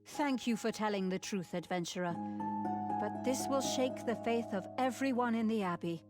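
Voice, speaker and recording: An actress playing a grave, dutiful abbess in a video game, speaking formally and earnestly. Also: female voice, fairly high pitch, normal speed, natural voice. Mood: sorrowful, resigned. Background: music.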